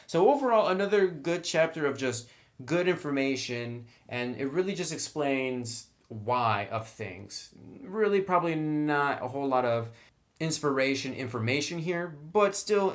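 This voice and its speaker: A man with an average-pitched voice gives a casual review, explaining things point by point. He keeps it conversational and slips in dry, wry little asides as he goes. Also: animated, moderate pace. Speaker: tabletop game book reviewer.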